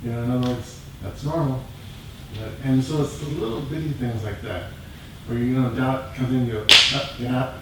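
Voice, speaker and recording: Man, reflective personal sharing, halting plain speech, very echoey room, noticeable background noise. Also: mid-range voice, fairly even intonation. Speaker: group member sharing in a dharma discussion. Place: meditation group meeting room. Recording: echoey room, distant mic, clear noise.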